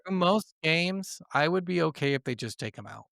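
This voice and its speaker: A man's voice: a little high, expressive, moderate pace, vocal fry, fairly bright tone, no room sound, studio quality. A man co-hosting a gaming podcast, giving blunt, skeptical opinions in casual, sweary conversation.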